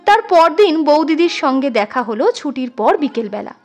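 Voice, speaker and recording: A woman reads literary fiction as an expressive audiobook storyteller. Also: high voice, moderate pace. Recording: music under the voice. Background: instrumental music.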